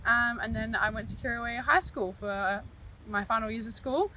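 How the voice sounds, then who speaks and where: A young Australian woman answering interview questions in a measured, patient way. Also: fairly high pitch, sharp tone, a little hiss or hum, poor audio. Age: young adult.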